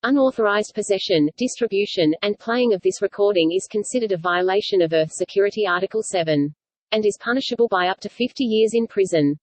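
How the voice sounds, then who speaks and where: A woman's voice: mid-range voice, a little fast, dry recording, close to an everyday voice, poor audio. Actress as impersonal female archive computer for sci-fi drama. Official, detached, mid-range, very dry recording. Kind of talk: scripted sci-fi announcement.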